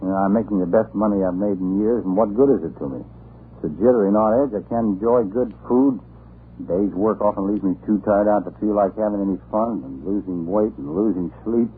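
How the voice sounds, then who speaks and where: A man's voice: lowish voice, fairly quick, natural voice. An American man playing a plain-spoken, earnest working everyman in a 1940s radio advertisement. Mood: complaining, puzzled. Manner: folksy, earnest. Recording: muffled, noisy old radio broadcast.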